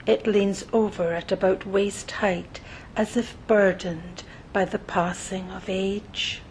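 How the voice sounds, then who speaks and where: A woman narrating a scripted guided meditation in a soothing, measured manner, pausing between short phrases, with soft breathy phrase endings. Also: steady tone, low-quality recording. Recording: noticeable low rumbling background noise.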